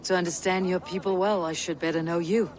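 An actress playing a loyal, calmly probing Viking warrior woman in a video game, terse and deliberate. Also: on the low side, slight background noise, close to an everyday voice. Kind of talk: dramatic video game cutscene acting.

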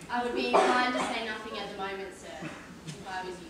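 A woman playing a brisk, dry police inspector, polite but firm, in an amateur stage play. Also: mid-range voice, natural voice. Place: large theatre stage, indoors. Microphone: far camera. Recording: echoey theatre from far off, noisy.

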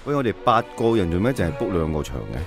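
Calm deep guy near forty, radio drama, music playing behind. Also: fast, creaky, heavily acted. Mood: matter-of-fact.

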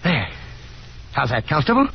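An actor playing an affable young American gentleman caller, easygoing and polite with chuckly hesitance, in a 1950s radio drama. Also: mid-range voice, huge pitch swings, normal speed, vocal fry, faint music behind. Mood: friendly, coaxing. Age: young adult. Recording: muffled, noisy 1950s radio recording.

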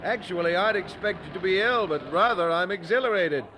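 An actor playing an earnest, polite young preacher who speaks formally in a radio drama. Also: male voice, high voice, normal speed, sharp tone, a faint crowd, low-quality recording.

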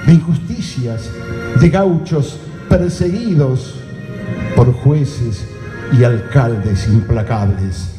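A man playing a solemn storyteller, narrating live radio theatre with dramatic pauses. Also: mid-range voice, expressive. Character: solemn storyteller of a gaucho legend. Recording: echoey hall with loud music.

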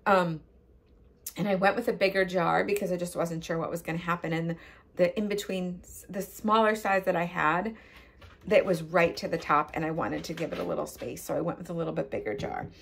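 Husky-voiced woman chatting in her kitchen. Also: fairly low pitch, fairly quick. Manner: chatty, gestures with hands, frequent ums. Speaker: cooking channel host. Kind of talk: casual how-to explanation. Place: home kitchen, indoors. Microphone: phone camera at close range.

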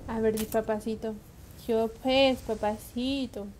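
Young woman streamer, fairly high voice, playful and chatty, faint background noise. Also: fairly even intonation, unhurried, darkish tone, low-quality recording. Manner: playful, thanks viewers, swears when frustrated. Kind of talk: casual live-stream chat while gaming.

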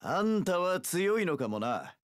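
An actor voicing a brash, rough-talking young pirate warlord in a video game, gravelly, with delinquent gang-boss speech and drawn-out battle cries. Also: male voice, high voice, big pitch swings, no echo, studio quality. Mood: low, brooding. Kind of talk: video game character voice lines.

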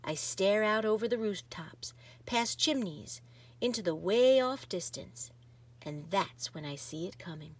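A woman reading a picture book aloud in an expressive, dramatic manner. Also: medium pitch, a little slow. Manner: animated, dramatic, varies from hushed to exuberant. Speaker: storybook reader.

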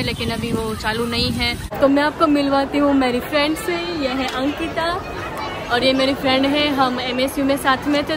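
A young woman vlogging on a phone held at arm's length, giving an upbeat, chatty tour of a shopping mall. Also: sits high. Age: young adult. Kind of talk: casual mall tour vlog. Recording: loud crowd and noise behind.

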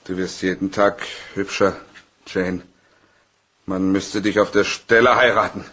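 An actor playing an easygoing, flirtatious office colleague in a crime radio play, husky and chummy. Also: male voice, sits low, steady tone, measured pace, sharp tone, slight background noise. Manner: teasing, chummy.

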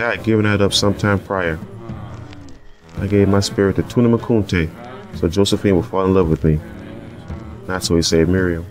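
A man's voice: deep voice, close to an everyday voice. A man playing a weary, resigned father in an old-time radio drama, solemn and plain-spoken. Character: weary, resigned father trapped in a cursed hotel.

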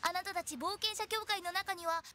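A woman voicing a playful, pushy teenage girl funeral director in a Japanese anime game, with animated sales patter. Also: very high-pitched, moderate pace, heavy background noise. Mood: eager, persuasive. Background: game music.

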